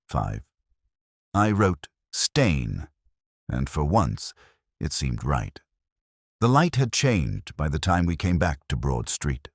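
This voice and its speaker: An extremely low male voice, close and dry, carries the first-person narration of a detective drama. A man plays a weary, melancholy inspector with a literary turn of mind. His delivery is measured and solemn, yet the pitch moves expressively. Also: no room sound, full character voice. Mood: reflective, sombre, then wry, brooding.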